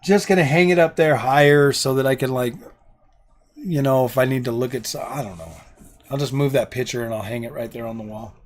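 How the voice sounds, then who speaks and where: A middle-aged man hosting a casual live stream from his home gaming room, rambling and indecisive, his voice going hoarse. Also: medium pitch, brisk. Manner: rambling and indecisive, repeats himself. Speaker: livestream host.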